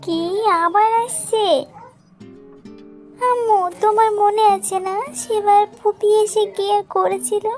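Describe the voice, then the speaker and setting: A woman's voice: extremely high pitch, flat delivery, slow pace, over music. A woman voicing an eager, childlike young boy in a family cartoon. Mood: worried. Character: young boy, eager and complicit with his mother. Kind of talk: cartoon voice acting.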